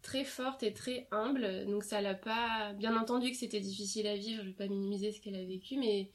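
A woman's voice: medium pitch, fairly even intonation, brisk. A young woman from France, interviewed about her family and childhood, talking in a casual way with many fillers and restarts.

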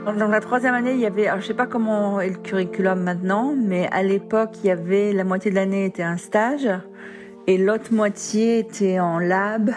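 A woman's voice: medium pitch, steady tone, normal speed. Woman, 50s, executive guest, casual interview, chatty, drops English words, faint background music. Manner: chatty, sprinkles English words and lots of "en fait". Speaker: executive guest recounting her career.

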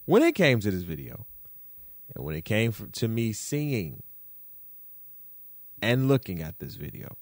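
A podcast host from Detroit doing solo commentary, roasting people in a slangy, playful way with lots of repetition. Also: male voice, fairly low pitch, very animated, measured pace, vocal fry, long pauses, no room sound, clean studio sound.

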